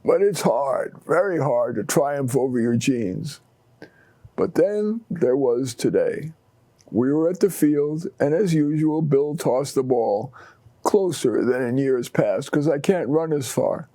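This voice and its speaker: A man's voice: mid-range voice, expressive, slow, mellow, no echo. A man voicing a tired old dog who tells his story in a tender, plain-spoken way. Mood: wistful, plain-spoken. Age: older. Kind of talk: first-person short story reading.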